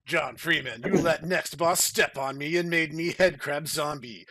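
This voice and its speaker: A man voicing overwrought action heroes and villains in a melodramatic, halting way for a comic story reading. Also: on the high side, bright, forceful tone, full character voice. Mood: menacing. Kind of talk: mock-dramatic comic fan fiction reading.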